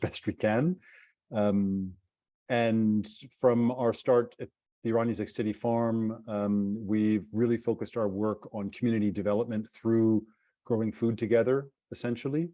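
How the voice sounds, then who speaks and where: A man in his fifties with an American accent talks at length on a webinar call, relaxed and a little self-deprecating. His voice sits low and warm and mellow. The sound is dry and close. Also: no room sound. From United States. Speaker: nonprofit CEO, panel guest. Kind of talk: casual webinar talk. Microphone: video call.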